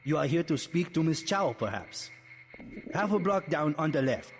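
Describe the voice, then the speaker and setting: A man's voice: fairly high pitch, animated, moderate pace, big-room sound, faint music behind. A man playing a wary Hong Kong local in a video game, with a formal, cautioning manner.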